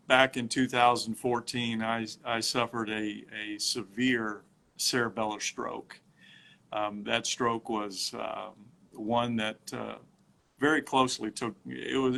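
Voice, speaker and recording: A middle-aged man talking casually on a phone livestream from home, telling his story haltingly with many fillers and restarts. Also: medium pitch, gravelly, sharp tone.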